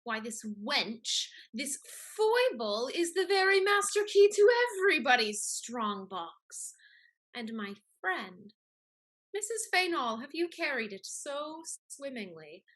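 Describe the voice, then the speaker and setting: A woman's voice: sits high, sing-song, slow pace, fairly bright tone, heavily acted. A woman playing a scheming, catty society lady in a Restoration comedy, with an arch, pointed, mannered delivery. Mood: scheming, scornful. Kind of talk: theatrical Restoration comedy acting.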